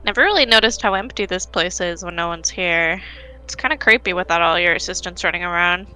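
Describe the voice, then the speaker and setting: A woman's voice: on the low side, fairly quick, pushed and bright, low-quality recording. A woman voicing a busy, blunt, guarded male furry alien station worker in a visual novel, with casual speech. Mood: uneasy. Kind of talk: visual novel character reading. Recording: music under the voice.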